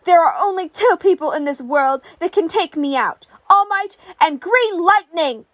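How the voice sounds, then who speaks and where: Audio story villain: vengeful fanatic man female reader, high voice, dramatic swings. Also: animated, normal speed, dry recording. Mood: enraged, menacing. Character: fanatical, vengeful male villain, a hero killer.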